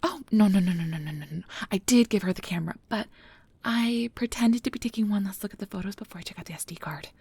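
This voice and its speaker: A woman playing a nervous, earnest, principled field researcher of magical creatures in an ASMR audio drama, soft and hesitant with stammers, close to the mic. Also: medium pitch, fast talker. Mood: flustered, defensive. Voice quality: breathy, soft. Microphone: close mic, dry recording.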